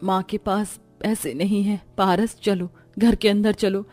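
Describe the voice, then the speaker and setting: A poor, long-suffering mother from a cartoon melodrama, played by a woman. The voice is earnest and pleading, with a soft-hearted gentleness, over quiet background music. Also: medium pitch, fairly even intonation, unhurried, close to an everyday voice. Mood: sad. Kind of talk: Hindi animated family melodrama.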